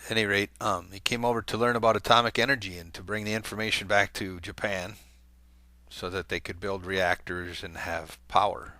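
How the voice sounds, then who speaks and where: A man's voice: on the low side, steady tone, brisk, sharp tone, no room sound. A man hosting an online talk channel, reading a long letter aloud to his viewers in a conversational way, with fillers and small self-corrections. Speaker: video channel host.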